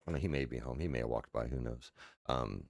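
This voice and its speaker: A man in his forties, a streamer with a deep voice, chatting loosely at home with many fillers and restarts and casual swearing. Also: fast talker, creaky, slight background noise. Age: 40s. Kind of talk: casual rambling chat while sim racing. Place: his house.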